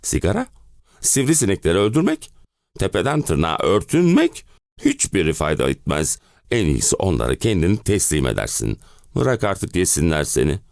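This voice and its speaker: A man's voice: sits low, wildly swooping pitch, normal speed, vocal fry, darkish tone, no echo, studio quality, full character voice. A male actor playing an exasperated, self-pitying middle-aged husband in a theatrical monologue, with melodramatic, comic complaining. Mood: aggrieved complaint. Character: exasperated, hen-pecked middle-aged husband ranting about summer-house misery. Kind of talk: theatrical one-man play monologue.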